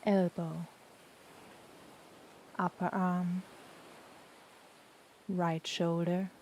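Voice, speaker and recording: A woman guiding a yoga nidra relaxation in a soft, soothing, unhurried voice with long pauses. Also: on the low side, poor audio. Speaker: yoga nidra meditation guide. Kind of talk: guided relaxation meditation. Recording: steady background noise, ocean waves. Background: sound of the ocean.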